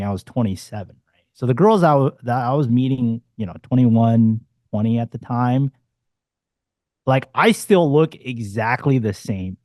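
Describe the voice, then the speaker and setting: A man's voice: mid-range voice, darkish tone, dry recording. A man in his forties, a dating coach talking bluntly and casually on a panel, swearing freely and throwing in punchy asides. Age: 40s.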